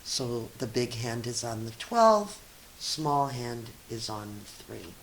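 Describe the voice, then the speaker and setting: A woman teacher reads a story to children at a slow, deliberate pace. Her delivery is expressive and encouraging, moving between narration and simple teaching asides. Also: rumbling low, slight background noise, poor audio. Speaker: teacher reading aloud to children.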